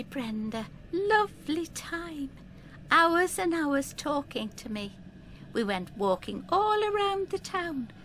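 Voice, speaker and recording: A woman's voice: on the high side, a little hiss or hum. An actress playing a vague, spaced-out woman in her seventies, wistful and deluded, in an audio drama.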